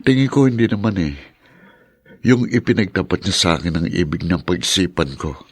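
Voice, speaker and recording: A man acting as a sincere, lovestruck suitor in a Filipino radio drama, with a husky voice and earnest, halting delivery. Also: deep voice, expressive, no room sound, exaggerated voice. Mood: troubled.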